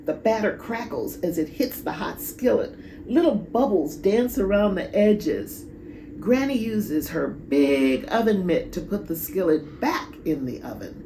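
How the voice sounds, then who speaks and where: Animated, unhurried woman reading a storybook. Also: medium pitch, echoey room, slight background noise, poor audio. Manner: animated, drawn-out emphasis. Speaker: children's storybook reader. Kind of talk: expressive read-aloud storytelling with asides.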